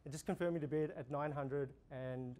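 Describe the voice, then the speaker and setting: A young man with an Australian accent, an auctioneer running a practice auction, calling bids in a steady repeating rhythm. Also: fairly high pitch, normal speed. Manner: rhythmic, repetitive bid calling, gestures while talking. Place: indoor room before a projection screen.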